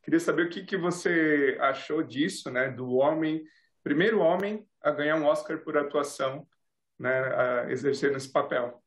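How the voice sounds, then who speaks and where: A male TV interviewer with a slightly raised pitch poses measured questions and leans on tag questions. His voice carries a noticeable room echo. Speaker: interviewer, TV host.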